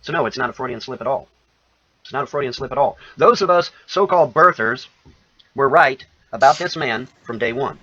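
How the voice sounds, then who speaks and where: A man's voice: mid-range voice, animated, fairly quick, pushed and bright, no echo. A man giving a rambling, folksy commentary to his viewers, self-assured and calling them folks. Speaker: commentator in a played video clip. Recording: lo-fi dull sound.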